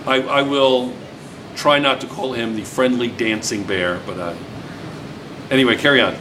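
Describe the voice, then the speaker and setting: A man's voice: medium pitch, normal speed. A man teaching a class, chatty and teasing, repeating his students' answers back to them. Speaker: physics teacher. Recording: noisy classroom recording.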